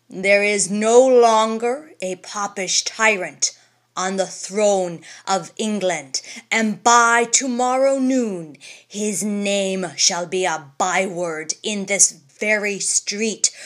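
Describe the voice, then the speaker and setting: A man's voice: sits high, a bit bright. A woman voicing a stern old Puritan champion who speaks with solemn, commanding composure in an audiobook reading. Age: older. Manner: solemn, commanding, slow and deliberate. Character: stern ancient gray male Puritan champion.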